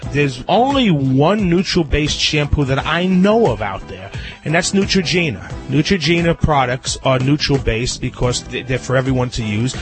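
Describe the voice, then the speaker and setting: A man with a stuffy, nasal voice from a cold, giving pet grooming advice in chatty, animated radio talk. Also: medium pitch, moderate pace, faint music behind. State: stuffy nose. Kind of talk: radio chat.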